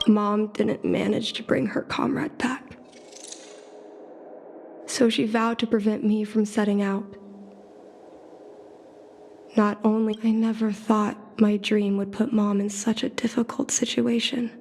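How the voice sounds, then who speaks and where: An actress playing an earnest, reflective young daughter who dreams of flying, in a video game. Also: female voice, mid-range voice, flat delivery, slow, creaky, long pauses, darkish tone, a little hiss or hum. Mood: shaken, tender. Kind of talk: video game character voice acting. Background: music.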